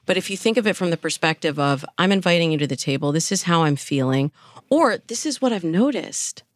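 A woman's voice: on the low side, expressive, brisk, dry recording, studio quality. A woman speaking as a dating coach and interview guest, explaining relationship advice conversationally with illustrative examples.

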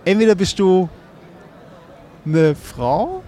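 A man's voice: sits high, big pitch swings, slow pace, warm tone. A young man in casual banter, rambling along in a joking, conversational way. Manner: joking, loose rambling storytelling.

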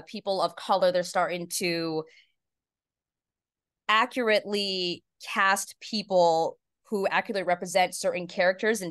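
Casting: female podcast guest, slightly low register, clean resonant tone, conversational interview delivery. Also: fairly bright tone. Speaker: podcast guest, voiceover artist and PA announcer.

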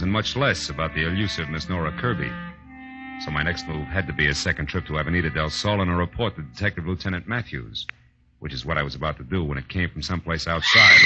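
Male actor as hardboiled 1940s radio detective: low, tough, wisecracking, slangy, dulled old broadcast sound. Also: lo-fi sound, lightly acted. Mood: tense narration. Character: tough wisecracking male private detective narrating his case.

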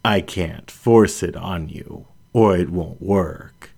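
A man reads the part of a grown lioness witch from a storybook, calm and kind. The voice is low and very unhurried, with gentle, solemn earnestness in the phrasing. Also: vocal fry, slightly rough, exaggerated voice. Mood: earnest, solemn. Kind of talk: storybook character reading.

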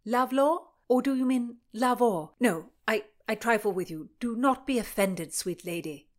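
A woman voicing an ardent young male Elizabethan suitor, in hushed, breathy whispers. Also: lowish voice, steady tone, normal speed, lightly acted. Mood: teasing. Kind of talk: audiobook character voice.

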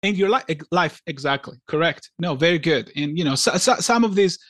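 A man from Greece co-hosting a podcast in casual chat, talking haltingly with many restarts and fillers. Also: on the high side, expressive, fairly bright tone, dry recording, clean studio sound. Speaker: podcast co-host.

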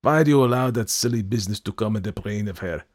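A man voicing a fierce old painter with a put-on German accent, shouting in broken English. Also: lowish voice, fast, close to an everyday voice. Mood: scornful. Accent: German-sounding put-on.

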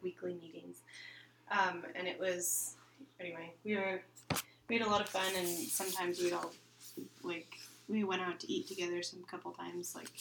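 A woman's voice: lowish voice, creaky, a bit bright, slight background noise. A giggly young woman from Texas chats as a podcast guest, full of fillers and laughter. Her voice rings in an echoey room over faint background ambience. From Texas, USA. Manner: giggly, chatty, many fillers. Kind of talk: casual interview chat.

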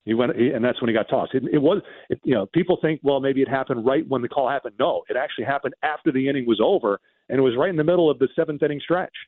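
A man's voice: mid-range voice, racing, hardly any pauses, no echo. Man recounting baseball, dull band-limited sound. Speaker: radio baseball commentator and guest. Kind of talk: unscripted sports talk, recounting games in detail.

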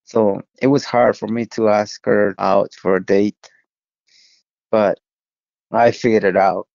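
A man with a Honduran Spanish accent and a low, gravelly voice talks very slowly, pausing as he searches for words in his second language. The audio is dull and lo-fi, with quiet music beneath. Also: steady tone, no room sound. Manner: slow, halting, with pauses and soft laughs. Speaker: interviewee, widower. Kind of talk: personal recollection in an interview.

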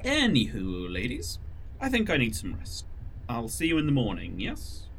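A man's voice: mid-range voice, wildly swooping pitch, normal speed, fairly bright tone, slight background noise. A man in his fifties playing a tired, genial train doctor who tinkers with prosthetics, in an audio drama. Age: 50s.

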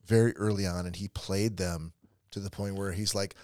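A man's voice: low-pitched, fairly even intonation, moderate pace, darkish tone, dry recording, studio quality. A man in casual conversation about a movie, often restarting and repeating his words. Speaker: podcast co-host.

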